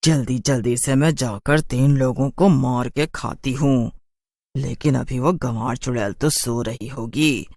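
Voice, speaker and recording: A man as a slow, drawling, sly city witch. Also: mid-range voice, darkish tone, no room sound, clean studio sound, pitched below the performer's own voice. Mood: scheming. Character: sly, boastful city witch, woman, smug trickster. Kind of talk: cartoon horror story acting.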